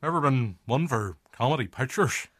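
A jaded, sweary film director in a radio drama, acted by a man. He is easily flustered, blunt yet hesitant, with intonation that swings widely around a middling pitch. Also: lively intonation, normal speed, a bit bright. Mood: humbled.

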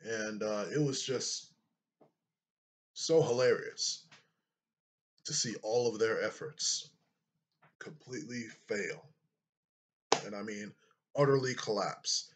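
A man in his thirties with a deep voice, talking to his webcam in a casual vlog from a small room, unhurried and thoughtful, with many fillers. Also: a little hiss or hum. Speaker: YouTuber.

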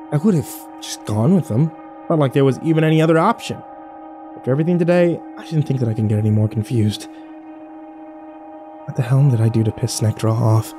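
A man's voice: medium pitch, close to an everyday voice. A man playing a sarcastic, battered young man who narrates his own horror audio drama with wry, sweary self-deprecation. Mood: exasperated.

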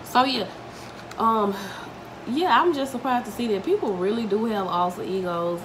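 A woman's voice: fairly high pitch, normal speed. A young woman, chatting to viewers while eating, a little congested from a cold, recorded at home. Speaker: mukbang vlogger. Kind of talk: casual chat while eating. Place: home dining room, indoors. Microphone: camera mic at table distance. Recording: home recording with noticeable room noise.

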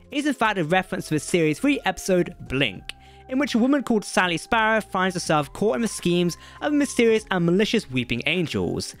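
A man's voice: high voice, a bit bright. A man narrating a scripted fan video as the channel's regular voice, with an enthusiastic delivery. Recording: voice-over with faint background music.

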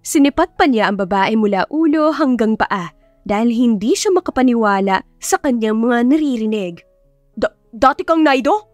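A woman's voice: on the high side, animated, normal speed, a little mellow, no room sound. A woman reading a scripted story as an audiobook narrator, in a dramatic storytelling style. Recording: music behind the voice. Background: background music.

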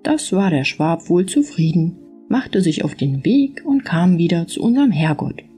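A woman reading a scripted fairy-tale audiobook as narrator, with expressive storytelling. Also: lowish voice, moderate pace, faint music behind.

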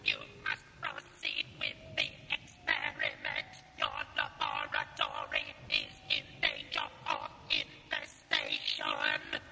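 A man's voice: very high-pitched, flat delivery, takes it slowly, hardly any pauses, reverberant, loud music behind the voice. A man playing an imperious, hostile alien machine creature in a science-fiction audio drama, with a grating electronic voice barking staccato commands. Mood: insistent. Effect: electronic ring-modulated robot voice.

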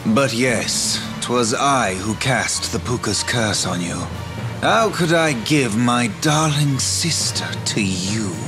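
An actor playing a cold, protective young sorcerer twin brother who speaks in a cool, mocking, measured way in a game cutscene. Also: male voice, mid-range voice. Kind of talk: video game cutscene dubbing. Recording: game audio with music behind.

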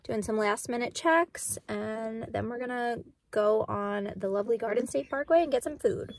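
High-voiced young American woman vlogging. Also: moderate pace, slight background noise. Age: young adult. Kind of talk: casual vlog chat to camera. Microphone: phone at arm's length.

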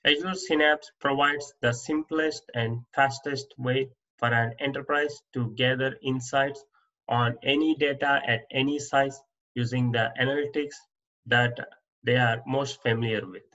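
A man reads through interview answers in a plain, steady voice-over. His tone is bright and clear. The recording sounds a little dull and lo-fi. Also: mid-range voice, a little slow. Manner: plain, steady reading with small restarts. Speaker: instructor. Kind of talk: reading interview answers off slides. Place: voice-over on slide presentation.

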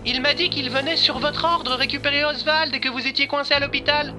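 A woman's voice: high-pitched, steady tone, a little fast, lightly acted. A woman playing a neighbour talking on the phone in an audio drama, with music behind her. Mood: puzzled. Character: female neighbour on the phone, babysitter.

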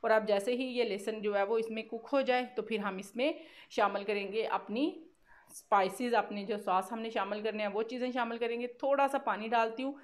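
A woman's voice: fairly high pitch, steady tone, brisk. A woman with a Pakistani accent giving a casual, conversational cooking voice-over, explaining steps with repeated filler phrases. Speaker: cooking vlogger.

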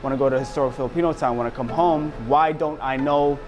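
A man's voice: mid-range voice, fairly even intonation, quick pace, over music. A man in his thirties who owns a restaurant, chatting in a laid-back way full of slang and fillers, recorded in a small restaurant. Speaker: restaurant owner. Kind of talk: casual conversation. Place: small restaurant with a painted mural wall.

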